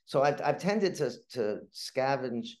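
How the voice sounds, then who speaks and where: An older man telling casual anecdotes as a podcast guest, halting and repeating his words, in a self-deprecating manner. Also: mid-range voice, lively intonation.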